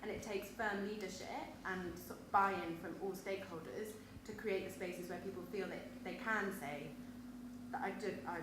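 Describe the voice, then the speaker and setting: A woman panelist speaking thoughtfully in a discussion, pausing to search for words. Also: medium pitch. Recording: very echoey hall, background noise.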